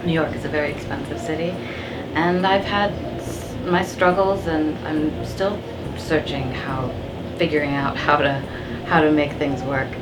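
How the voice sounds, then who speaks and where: Low-voiced halting woman, distant and noisy. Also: fairly even intonation. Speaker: interview guest. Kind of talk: reflective interview talk. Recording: off-mic, clear air-conditioning noise.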